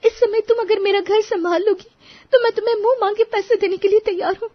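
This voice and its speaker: Anxious mother voiced by a woman, extremely high, thin-pitched, imploring and insistent, cartoon moral tale, slightly dull audio. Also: steady tone, fast talker. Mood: tearful, pleading. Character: anxious, pleading mother desperate for household help.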